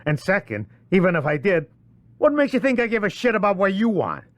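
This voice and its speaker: Game role: dirty cop, crude and evasive, very lively intonation, dry sound. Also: male voice, high voice, brisk, no echo. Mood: sneering, hostile. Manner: foul-mouthed, sneering, streetwise. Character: crude, hostile, corrupt male police officer, evasive under pressure. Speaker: video game character. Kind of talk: cinematic game dialogue.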